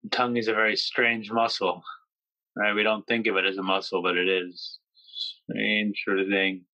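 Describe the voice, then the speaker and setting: Even-toned man guiding a meditation. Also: lowish voice, no room sound, dull audio. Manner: slow, gentle, pausing between phrases. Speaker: meditation guide. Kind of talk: guided yoga nidra meditation.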